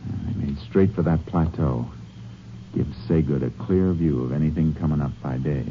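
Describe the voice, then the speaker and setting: An actor playing a calm, shrewd Texas Ranger who tracks outlaws and narrates, in a 1950s radio drama. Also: male voice, very deep voice, normal speed, slight background noise. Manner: calm, clipped, matter-of-fact. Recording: dull old radio broadcast recording.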